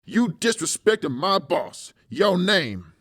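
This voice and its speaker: A man's voice: sits high, big pitch swings, slow, fairly bright tone, full character voice. A man voicing a muscular, foul-mouthed, wary hired torturer in an audiobook, with a blunt, slangy manner. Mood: brash. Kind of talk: audiobook character acting.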